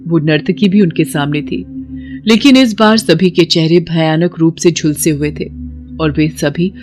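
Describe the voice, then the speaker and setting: A woman's voice: sits low, unhurried, darkish tone, soft background music. A woman narrator reading a scripted story in a dramatic storytelling style.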